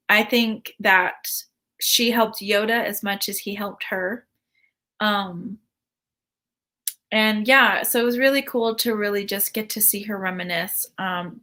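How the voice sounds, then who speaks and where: A woman hosting a casual commentary video, chatty and full of ums and restarts, with a voice she calls high-pitched. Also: sharp tone. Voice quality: says her voice is high-pitched. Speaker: host.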